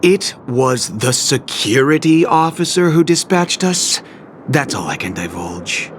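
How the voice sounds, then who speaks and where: A man's voice: medium pitch, animated, measured pace, slight background noise, close to an everyday voice. A man voicing a weary, flustered security underling in an anime game, stubborn but pleading in a theatrical way. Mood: wary. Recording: loud game music behind. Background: loud game music.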